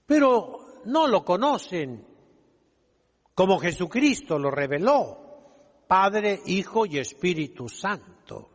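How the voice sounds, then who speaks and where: Very slow, high-voiced older preacher. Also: male voice, sing-song. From Mexico. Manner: emphatic, scolding, deliberate with dramatic pauses. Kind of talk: fiery homily, exhorting the congregation. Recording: echoing hall with long reverb.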